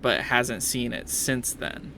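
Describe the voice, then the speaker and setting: A man's voice: medium pitch, slow, vocal fry, bright, forceful tone, a little hiss or hum. A man casually narrating a tabletop game as game master, with a joking, self-deprecating manner.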